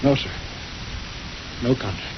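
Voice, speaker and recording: An actor playing an earnest, anxious sergeant radio operator in a 1950s radio drama, eager and deferential. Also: male voice, slow pace, close to an everyday voice. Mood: subdued, deferential. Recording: muffled, noisy 1950s radio recording with clicks.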